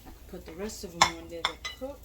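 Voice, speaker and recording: A woman's voice: on the low side, animated, fast talker, sharp tone, slight background noise. A woman in her home kitchen, chatting casually and talking herself through each step as she cooks. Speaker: cooking vlogger. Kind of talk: casual narration while cooking. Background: frying and sizzling in a pan.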